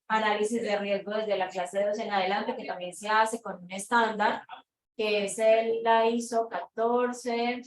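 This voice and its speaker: A woman's voice: mid-range voice, steady tone, unhurried. Colombian woman lecturing in an echoey room. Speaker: conference speaker, researcher. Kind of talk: lecture presentation to an audience. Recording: echoey room, distant mic, background noise.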